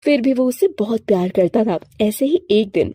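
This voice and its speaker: A woman storyteller narrates a scripted tale. Her voice sits fairly high with a soft, mellow tone, and the recording is close and very dry. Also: animated, normal speed, slightly rough, dry recording.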